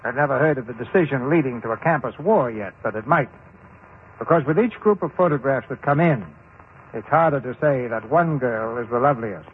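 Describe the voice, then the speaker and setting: A man with an American accent giving formal, measured scripted narration as host of a 1940s radio theater. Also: medium pitch, expressive. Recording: muffled, noisy old radio recording.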